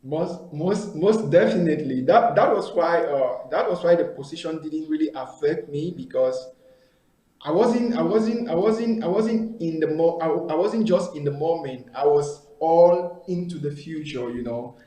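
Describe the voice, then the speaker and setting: Guy in his 30s on a video call, mellow voice, lots of um and repeats, echoey room. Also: on the high side, lively intonation, a little slow. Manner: hesitant, many repeated words and fillers. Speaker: guest, company founder. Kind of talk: casual interview answers.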